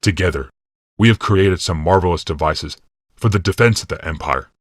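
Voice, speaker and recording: A man voicing an imperious, calculating male military ruler in audiobook dialogue, measured, commanding and condescending. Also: deep voice, dry recording, studio quality. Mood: proud, calculating.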